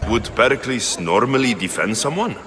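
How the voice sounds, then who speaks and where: An actor playing a blunt, self-assured Greek mercenary hero in a video game, speaking tersely. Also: male voice, mid-range voice, big pitch swings, normal speed, a bit bright, faint music behind. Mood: guarded. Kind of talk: video game cutscene acting.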